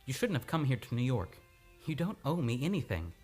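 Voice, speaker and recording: A man's voice: mid-range voice, big pitch swings, brisk, a little mellow, slightly rough. A man acting in an old-time radio drama as an earnest, anguished amnesiac on the run. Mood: pleading. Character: troubled, lovelorn amnesiac man fleeing a murder charge. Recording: live radio play with music behind.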